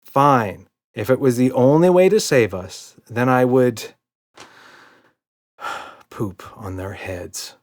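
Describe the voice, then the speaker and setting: A man's voice: medium pitch, slow pace, pauses often, lightly acted. A man voicing a patient, reassuring, playful father in a children's storybook, indulgent and mock-serious. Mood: reluctant.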